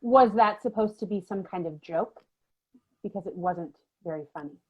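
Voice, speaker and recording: A woman playing a stern, condescending elementary school teacher with a husky voice and brisk manner, in a dramatic novel reading. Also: medium pitch, natural voice. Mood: disapproving. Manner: brisk, disapproving, patronizing. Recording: dull, lo-fi sound.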